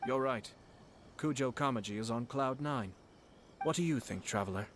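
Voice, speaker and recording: A man voicing a poised young male clan commissioner in a game cutscene, formal, measured and diplomatic. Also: mid-range voice, slight background noise, natural voice. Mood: calm. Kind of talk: video game cutscene voice acting. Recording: soft game music behind.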